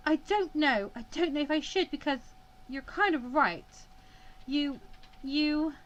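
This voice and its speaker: A woman voicing a distraught, defiant young female student in halting, emphatic dialogue from a visual novel. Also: sits high, fairly bright tone, lo-fi sound, pitched above the performer's own voice. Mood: anguished, torn.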